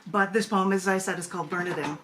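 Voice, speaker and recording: A woman's voice: on the low side, fairly even intonation, fast talker, fairly bright tone, slight background noise. Chatty woman on a video call. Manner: chatty, laughs often, restarts sentences. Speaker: poet and magazine editor, guest. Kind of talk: radio interview over video call.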